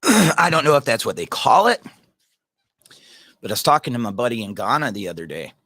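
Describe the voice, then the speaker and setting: A man's voice: medium pitch, sing-song, brisk, bright, forceful tone, no room sound. A man hosting a casual livestream, reading viewer comments and talking earnestly in a rambling way, addressing viewers by name.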